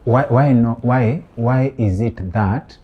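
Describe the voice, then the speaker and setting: A man's voice: medium pitch, slow pace, breathy edge, lo-fi sound. A man hosting a podcast interview, asking probing questions and pressing his points. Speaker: podcast host.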